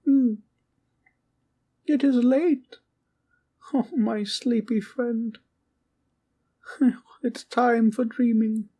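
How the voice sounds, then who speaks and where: A woman's voice: on the high side, fairly even intonation, very slow, pauses often, dark tone, breathy edge, heavily acted. A woman voicing a small, kindly teddy bear guardian in a comfort audio roleplay, sweet and polite with courtly, old-fashioned wording and a soothing bedtime manner. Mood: warm, playful.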